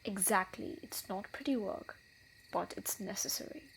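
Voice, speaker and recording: A woman's voice: medium pitch, normal speed, creaky, a little hiss or hum, natural voice, low-quality recording. A woman playing a wily, menacing thief in a scripted fantasy audio roleplay, with a theatrical delivery. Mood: hushed, plotting.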